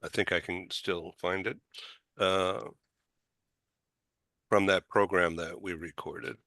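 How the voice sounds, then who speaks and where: An older man hosting a casual panel chat, halting, putting questions to the guests. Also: low-pitched, flat delivery, pushed and bright, dry recording. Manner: slow, halting, questions with rising lift. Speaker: talk show host.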